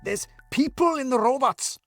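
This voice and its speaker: A frantic, excitable man raving about hidden conspiracies, his voice jumping high and sounding strained and set apart from the narration, as a male narrator puts him on. He rambles breathlessly in a storybook reading with soft music underneath. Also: moderate pace, no echo. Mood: feverish. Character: frantic middle-aged man chasing robot conspiracies.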